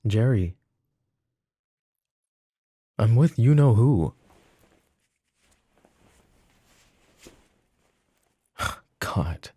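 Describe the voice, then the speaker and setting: A man playing a flirty, possessive mafia boss in an intimate roleplay, speaking sardonically and softly with slow, deliberate pauses. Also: sits low, slightly rough, full character voice. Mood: urgent, businesslike. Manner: sardonic, soft-spoken, slow pauses, swearing and sighs. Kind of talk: intimate ASMR roleplay spoken to the listener.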